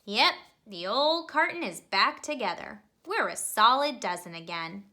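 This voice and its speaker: A woman reading a children's picture book aloud, telling it expressively and pausing to ask young listeners questions. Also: fairly high pitch, lively intonation, pushed and bright. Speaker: storybook reader.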